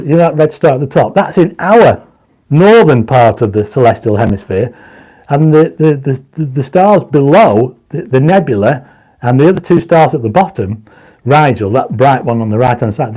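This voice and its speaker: A man hosting a casual livestream, reading out viewer comments and pushing for likes, his mouth dry and his voice going croaky. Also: mid-range voice, expressive, moderate pace, dark tone. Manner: reads viewer comments aloud, urges likes; enthusiastic when explaining stars. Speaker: livestream host. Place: home astronomy studio.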